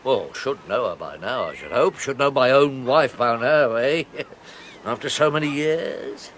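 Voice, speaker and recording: A chuckling, sly husband. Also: male voice, mid-range voice, lively intonation, measured pace, fairly bright tone, slight background noise. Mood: jovial. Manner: chuckling, jovial, patronizing. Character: fussy middle-aged husband, chuckling, sly. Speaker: Arthur. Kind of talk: radio drama acting.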